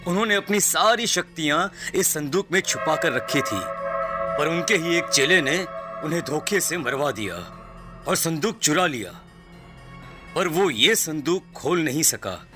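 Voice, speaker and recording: A man playing an anxious, protective middle-aged father in an animated horror story, earnest and dramatic. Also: fairly high pitch, a little slow, over music. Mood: grave concern.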